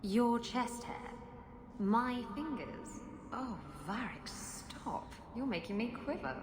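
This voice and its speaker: An actress playing a flirtatious, taunting adventuress in a video game, sultry and teasing. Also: female voice, on the high side, expressive, slow pace, reverberant, background noise. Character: flirtatious, playful, taunting swashbuckling woman.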